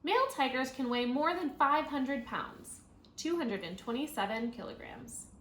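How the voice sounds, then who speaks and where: A woman teacher reading a children's nonfiction book aloud, thinking out loud and guiding young listeners as she goes. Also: sits high, lively intonation, moderate pace. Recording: roomy echo, audible background noise.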